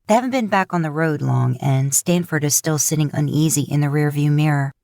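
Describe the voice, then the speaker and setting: A woman narrating a fan fiction audiobook in a steady storytelling manner. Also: deep voice, a little fast, vocal fry, dry recording, studio quality.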